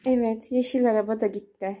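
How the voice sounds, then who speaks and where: A woman's high voice speaks in playful baby talk, as a mother does with a small child. She narrates the game in a sing-song way, repeating little words. The recording is a homemade one with clear background noise. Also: steady tone, a little fast, mellow. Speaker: mother playing with her young child. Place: indoors at home beside water play tubs. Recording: noisy home phone recording with clicks.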